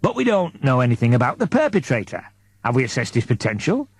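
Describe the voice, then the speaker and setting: A man voicing an earnest, humane male priest in an audiobook, concerned and gently questioning. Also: mid-range voice, sing-song, fast, husky. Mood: concerned, protesting. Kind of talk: audiobook character dialogue.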